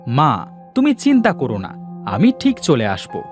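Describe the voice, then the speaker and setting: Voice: medium pitch, huge pitch swings, a little slow, soft background music. A performer voicing a kind, dutiful village boy in a cartoon, earnest and eager in manner. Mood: reassuring.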